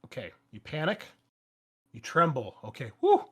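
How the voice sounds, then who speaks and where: A man's voice: a little high, wildly swooping pitch, normal speed, a bit bright, breathy edge. A man speaking as a game master, casually explaining rules and narrating the action with some swearing. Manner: casual, profane.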